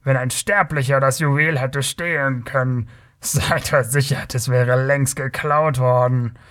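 Here is a man playing a swaggering male rogue from a fantasy audiobook. He jeers and bellows with scornful condescension. His temper is short and his boasting is loud. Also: medium pitch, fairly even intonation, unhurried, natural voice. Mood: boastful warning. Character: mocking, boastful, hot-tempered southern male thief in a tavern.

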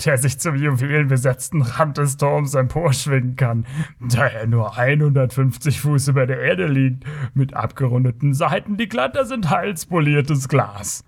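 A man's voice: mid-range voice, unhurried, fairly bright tone. A man voicing a mocking, boastful, hot-tempered thief in a fantasy audiobook, with a bellowing, condescending manner. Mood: scornful.

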